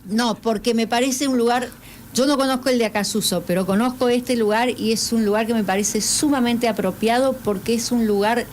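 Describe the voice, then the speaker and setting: A woman's voice: fairly high pitch, fairly even intonation, fairly quick, slight background noise. A woman co-hosting a radio talk show, chatty and asking the guest a string of questions. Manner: chatty, asks many questions. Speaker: radio co-host. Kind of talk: radio studio conversation. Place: radio studio.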